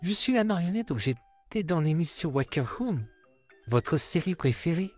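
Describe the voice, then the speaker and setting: Friendly puppet come to life male actor, courteous and gentle, very animated intonation, music underneath. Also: on the high side, moderate pace. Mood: polite, apologetic. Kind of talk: French fan comic dub acting.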